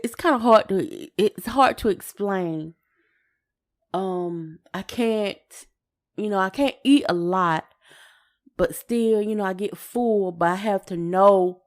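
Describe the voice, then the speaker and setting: A woman co-hosting a podcast in a casual personal chat, hesitant and often repeating and rephrasing her words. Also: medium pitch. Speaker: podcast co-host.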